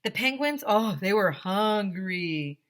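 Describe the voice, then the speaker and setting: A woman's voice: mid-range voice, animated, takes it slowly. A woman voicing a young schoolboy in a storybook, nervously stammering through excuses. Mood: nervous, evasive. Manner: stammering, hesitant, improvising excuses. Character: young schoolboy nervously fibbing to his principal. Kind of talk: children's chapter book read-aloud.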